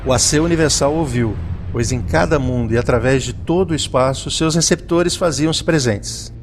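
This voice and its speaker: A man's voice: mid-range voice, normal speed, a little hiss or hum. A man narrating a scripted audio drama in a solemn, literary reading style, with music behind him.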